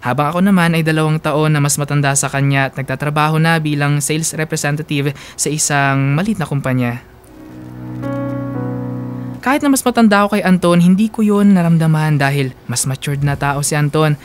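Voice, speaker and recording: A man's voice: fairly high pitch, fairly quick, smooth, faint music behind. A man with a Filipino accent narrating a first-person love story as a radio host.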